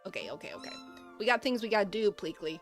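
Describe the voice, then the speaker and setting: A woman's voice: mid-range voice, big pitch swings, quick pace, soft background music. A woman doing casual live gaming commentary, chatting and thinking aloud as she plays. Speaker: game streamer.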